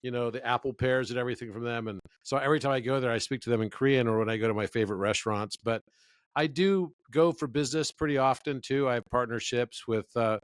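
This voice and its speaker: A man's voice: mid-range voice, quick pace, clear, resonant tone, no room sound. A man in his fifties, a guest in a conversational interview, telling stories with enthusiasm. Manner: enthusiastic, storytelling.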